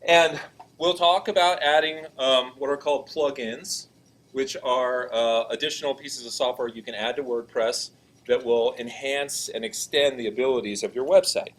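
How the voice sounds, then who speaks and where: A man teaching a class, talking casually in a loud, projecting voice and often checking in with students while he walks around the classroom. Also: medium pitch, fairly even intonation, normal speed, slight background noise. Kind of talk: classroom lesson, walking around.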